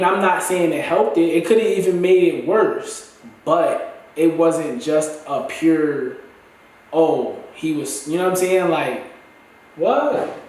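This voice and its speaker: A man's voice: fairly high pitch, darkish tone. Congested man in an echoey room. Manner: loose, slangy, restarts often. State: congested, dry throat. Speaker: vlogger. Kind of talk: casual rambling monologue. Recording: very echoey room, mic at a distance.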